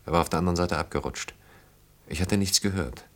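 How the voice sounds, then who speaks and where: An actor in a German radio play, playing a brooding engineer who speaks reflectively in halting, short phrases. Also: male voice, low-pitched. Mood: melancholy, recounting. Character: brooding engineer haunted by wartime childhood and lost friends.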